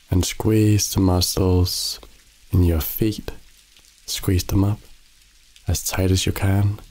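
Slow, deep, soothing man guiding sleep. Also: low-quality recording. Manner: slow, soothing, calm instructions. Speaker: meditation guide. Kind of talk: guided sleep meditation. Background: rain sounds.

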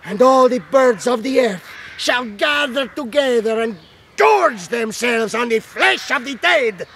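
A zealous medieval cardinal in an audio drama. His voice is strained, gravelly and piercingly bright. He declaims holy war to the troops like scripture. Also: very high-pitched, animated, a little slow, a little hiss or hum, heavily acted. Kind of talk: fire-and-brimstone sermon in radio drama.

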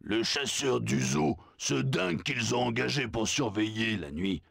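A man voicing a sly male zoo animal who warns in a slow, hushed, conspiratorial way, in a video game dub. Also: mid-range voice, vocal fry, husky, dry recording, studio quality, heavily acted.

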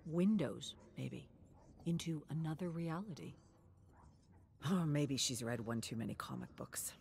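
A woman narrating a scripted dramatic story with theatrical, suspenseful and deliberate phrasing. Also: deep voice, gravelly, darkish tone, a little hiss or hum.

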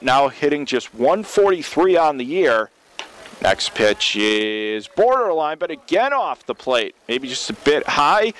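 A man's voice: medium pitch, fairly bright tone. A man calling a baseball game live as a radio play-by-play commentator. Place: open-air baseball stadium. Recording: clear outdoor ballpark ambient noise.

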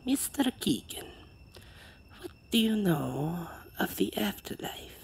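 An actress playing an eerie old woman who is a spirit medium, speaking slowly and ominously in an audio drama. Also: medium pitch, sing-song, creaky, slight background noise, exaggerated voice. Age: older.

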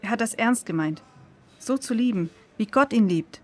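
A woman's voice: a little high, animated, moderate pace, a little mellow. A woman playing a sincere, consoling friend of children, speaking earnestly and reassuringly in an audio drama.

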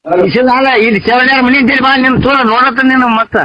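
Casting: very high-pitched North Karnataka man, argumentative, stammering repeats, dry and dull lo-fi call sound. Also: fast, dry recording. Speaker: prank call participant. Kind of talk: heated phone argument in village dialect. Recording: dull lo-fi phone call recording.

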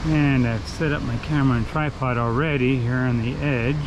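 A man narrating a casual outdoor hike as a photographer, relaxed and enthusiastic, often restarting his sentences. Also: medium pitch. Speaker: photographer vlogger. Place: outdoors by a river and waterfalls. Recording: outdoor noise of rushing water. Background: rushing water.

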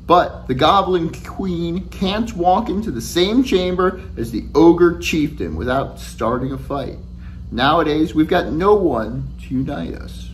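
A man in his forties reads a children's story aloud as its author, in an animated, conversational first-person way. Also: a little high, slight background noise, rough recording. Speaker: author reading own book aloud. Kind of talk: expressive storybook reading. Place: small indoor room at home with shelves.

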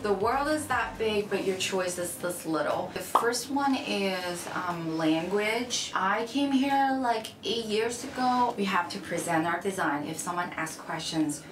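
A young woman from China, speaking English as a second language, answering interview questions in a frank, chatty way at a table. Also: fairly low pitch. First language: Chinese. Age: young adult. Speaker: interviewee, UX designer. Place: bright room, seated at a table. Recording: echoey room with music under.

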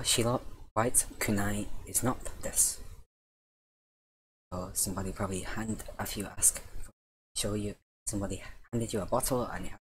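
A man's voice: on the low side, a little hiss or hum, low-quality recording. A man teaching a casual vocabulary lesson over his screen, voice dry and tiring, easygoing and correcting himself as he goes. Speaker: Japanese language teacher.

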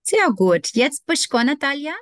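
A woman's voice: high-pitched, lively intonation, moderate pace, a bit bright, no room sound, clean studio sound. A woman teaching a beginner German class over a video call, patient and encouraging with her students. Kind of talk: online language class.

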